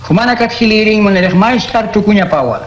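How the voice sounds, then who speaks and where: Un hombre interpreta a Jesús enseñando con calma y tono instructivo en un radioteatro cristiano. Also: male voice, high voice, a little fast, dull audio. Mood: teaching. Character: Jesus, calm adult male teacher. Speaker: voice actor. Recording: echoey room.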